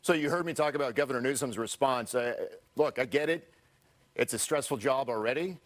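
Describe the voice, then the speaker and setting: An American man anchoring a live television newscast. He has a mid-pitched voice and moves between reading reports and putting questions to a guest. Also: a little fast.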